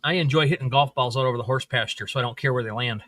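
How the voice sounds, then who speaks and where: A man's voice: mid-range voice, rapid-fire, a bit bright, no echo. A man in his forties chatting on a livestream, with dry jokes. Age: 40s. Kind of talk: casual livestream chat.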